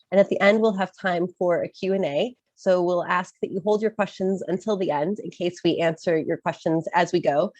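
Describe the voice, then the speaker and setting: A woman's voice: mid-range voice, a little mellow, no room sound, muffled recording. A woman in her thirties from Chicago with an American accent, presenting an informational webinar while recovering from a cold, with frequent um fillers. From Chicago, USA. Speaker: study-abroad advisor, webinar presenter.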